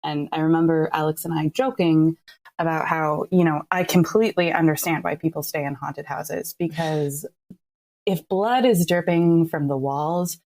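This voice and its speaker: A woman's voice: deep voice, steady tone, moderate pace, darkish tone. A woman speaking as a podcast creator in a casual interview, with a wry, anecdotal manner.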